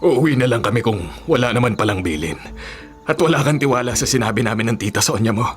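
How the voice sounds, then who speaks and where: A man playing a placating but slightly touchy middle-aged uncle in a Filipino radio drama, measured in manner. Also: mid-range voice, lively intonation, soft background music, lightly acted. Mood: offended.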